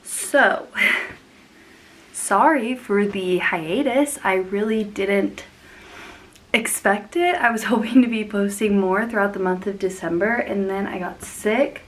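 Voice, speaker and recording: A woman's voice: medium pitch, takes it slowly. A young woman hosting a casual plant vlog, sniffly and congested from being sick, rambling and laughing often as she talks. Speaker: plant channel host.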